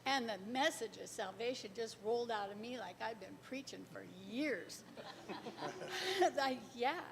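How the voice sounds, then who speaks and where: A middle-aged woman from the congregation telling a personal faith story to a church group as a lively storyteller. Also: measured pace, vocal fry, a crowd in the background. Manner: lively storyteller, laughs between lines. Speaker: congregation member sharing testimony. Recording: far off in a large echoing hall, faint rumble.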